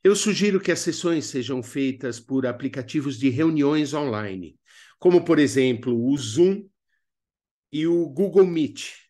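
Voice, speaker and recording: A man's voice: a little high, animated, unhurried, warm tone. A man with a Brazilian accent narrating a video lesson as a workshop instructor, didactic with conversational asides to the learner. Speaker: instructor of a radio drama workshop.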